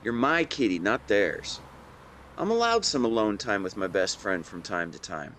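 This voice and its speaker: A man playing an affectionate, playful young best friend in a romantic audio roleplay, speaking to the listener in a teasing, tender, expressive way. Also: fairly low pitch, moderate pace, a little hiss or hum. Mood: fond, tender.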